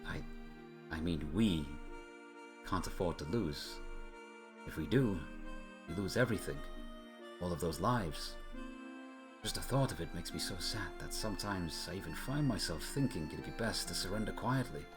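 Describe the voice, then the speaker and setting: A man's voice: deep voice, lively intonation, moderate pace, long pauses, over music. A man voicing a weary, earnest female city leader burdened by war in a video game, grave and reflective. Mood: somber, worried. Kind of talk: acting out video game dialogue.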